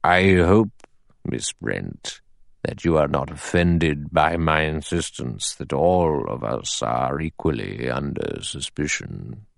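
A man voicing an elderly judge in an audiobook, cold and measured, with a thin, sour, deliberate delivery. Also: very deep voice, lively intonation, no room sound, pitched below the performer's own voice, full character voice. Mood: stern, measured. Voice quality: small, thin, clear voice. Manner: slow, deliberate, dry and sour. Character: elderly male judge, cold, measured, acid-tongued authority.

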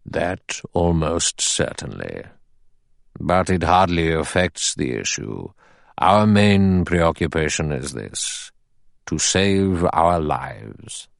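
A man reads the part of a cool, commanding old judge in a storybook reading, weighing each word in a slow, very deep voice. The voice is close and dry, with no room around it. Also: very animated, gravelly, no echo, full character voice. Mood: cool, deliberate. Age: older. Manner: measured, precise, quietly authoritative.

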